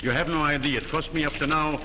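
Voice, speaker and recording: An actor in a 1930s film playing an excitable studio president who fusses over money. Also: male voice, on the high side, fast, noisy background, lightly acted. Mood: flustered. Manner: flustered, fussing over money. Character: excitable money-minded male studio boss.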